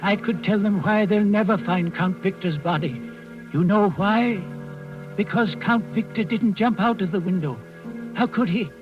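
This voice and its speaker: An actor playing an old servant in the resistance, narrating deliberately and dramatically in 1940s radio style. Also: male voice, high voice, lightly acted. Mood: grim, confiding. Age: older. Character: old servant confessing calmly to underground resistance work. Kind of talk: 1940s radio acting, first-person narration. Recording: muffled 1940s radio with faint music.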